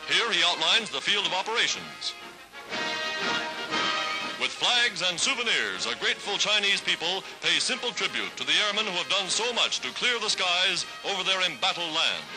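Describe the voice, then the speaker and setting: A man's voice: sits high, very animated, moderate pace, over loud music, poor audio. An American man narrating a 1940s wartime newsreel in a dramatic, declamatory, patriotic announcer style, on a vintage soundtrack.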